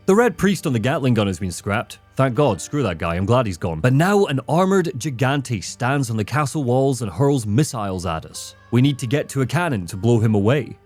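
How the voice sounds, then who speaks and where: Male video essayist, scripted retrospective narration, wry, expressive intonation, faint music behind. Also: medium pitch. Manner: wry, opinionated.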